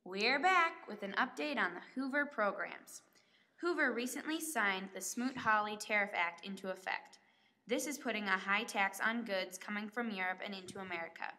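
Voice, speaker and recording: A woman's voice: medium pitch, normal speed, sharp tone, close to an everyday voice. A woman playing a 1930s radio newswoman, reading scripted reports in a period broadcast. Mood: earnest.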